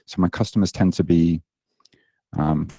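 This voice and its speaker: A man's voice: low-pitched, steady tone, moderate pace, gravelly, warm tone, breathy edge, dry recording. A British man in his late thirties, a fitness coach guest chatting casually on a podcast, self-deprecating with lots of ums and uhs. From Britain.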